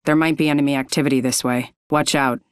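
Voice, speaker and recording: A cool, watchful fighter for a video game. The voice is low and smooth, moving quickly through short callouts in a dry recording. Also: female voice, fairly even intonation, dry recording.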